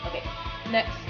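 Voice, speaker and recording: A young woman with a congested voice from a cold, casually chatting through a hair tutorial with lots of fillers. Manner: chatty, filler-heavy, rambling. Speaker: beauty vlogger. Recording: dull lo-fi sound with background music. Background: music.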